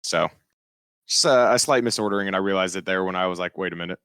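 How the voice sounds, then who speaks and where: A man with a very deep, smooth, resonant voice talks over voice chat about his match. He speaks haltingly and pokes fun at himself. The sound is dry and close. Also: fairly bright tone, no room sound. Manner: self-deprecating, halting with restarts. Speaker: guest player. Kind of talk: casual post-match chat. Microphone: voice chat mic.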